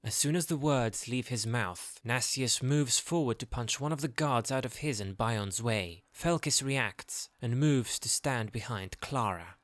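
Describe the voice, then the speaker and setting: A man's voice: mid-range voice, animated, measured pace, hardly any pauses, fairly bright tone, dry recording. A man narrating a scripted fantasy audio drama in a storytelling style.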